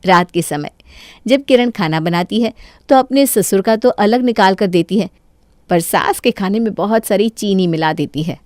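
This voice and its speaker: A woman's voice: mid-range voice, moderate pace, a little mellow, no room sound, studio quality. A woman reading scripted narration for a Hindi story.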